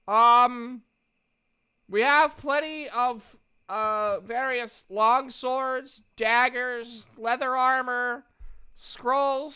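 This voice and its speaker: A game master voicing a very tired half-elf man who minds a loot stock with halting, casual patter. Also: mid-range voice, bright, forceful tone, clear, resonant tone, pitched above the performer's own voice.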